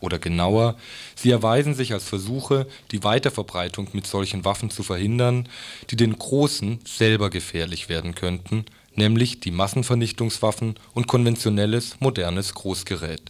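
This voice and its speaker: A man's voice: fairly low pitch, moderate pace. A man formally reads written excerpts for a radio report. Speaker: reader of quotations in a radio report.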